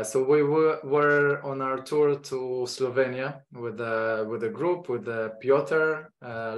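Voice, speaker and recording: A man with an Israeli accent hosting an online talk, speaking haltingly with many fillers and restarts. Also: medium pitch. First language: Hebrew. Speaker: host. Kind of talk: online lecture introduction. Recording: echoey room.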